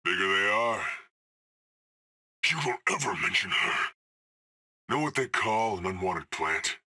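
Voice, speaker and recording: A man's voice: low voice, expressive, a little slow, pushed and bright, full character voice. An actor playing a cocky, cool male hired assassin in a video game, trading dry, sardonic one-liners.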